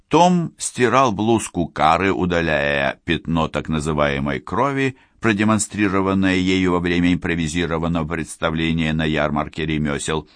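Deep-voiced male audiobook narrator. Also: a bit bright, no echo, clean studio sound.

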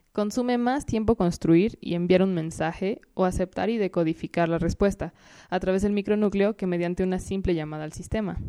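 A young woman as guest expert, giving a measured, lecture-like scripted explanation that lists points one by one. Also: lowish voice, warm tone, clear, resonant tone, no room sound, clean studio sound.

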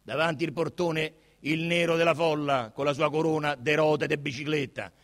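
A man narrating a literary text theatrically, with solemn, deliberate, dramatic phrasing. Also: fairly high pitch, fairly even intonation, fairly bright tone. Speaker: narrator and actor.

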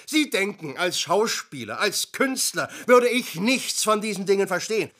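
A man's voice: high-pitched, very animated, normal speed, pushed and bright, full character voice. A man playing a drunken, bitter, grandiose stage actor who declaims pompously in a German radio play. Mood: indignant, pompous.

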